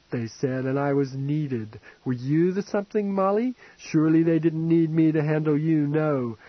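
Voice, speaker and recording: A man's voice: fairly high pitch, no room sound, dull audio, voice pushed up. A man reading an audiobook, voicing an ancient, dying, decadent rich man who rambles and mocks in a languid drawl. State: drunk and fading. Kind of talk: audiobook character voice.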